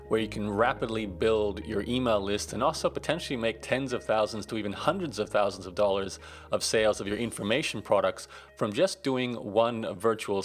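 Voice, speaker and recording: A man hosting a podcast, chatting casually in a friendly, rambling way with frequent restarts. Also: fairly low pitch. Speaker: podcast host. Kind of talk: casual interview chat.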